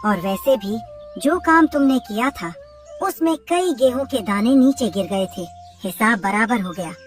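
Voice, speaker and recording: Guy doing a stingy crow husband for a cartoon, offhand, bouncy pitch, loud music behind. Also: expressive, unhurried, recorded from a distance. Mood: callous. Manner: dismissive, offhand. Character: rich male crow husband, dismissive and stingy.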